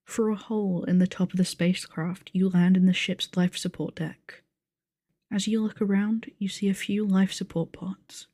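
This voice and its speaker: A woman playing a calm, bookish young monster game master in an audio roleplay, measured, articulate and formal. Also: mid-range voice, no room sound, studio quality, lightly acted. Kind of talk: acted audio roleplay, narrating a tabletop game.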